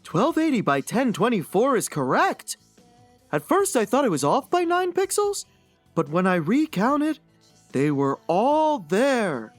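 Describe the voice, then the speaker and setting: A woman's voice: a little high, no room sound, exaggerated voice. A woman voicing a gloomy, pedantic oddball blogger in an earnest, fussy, theatrical dramatic reading.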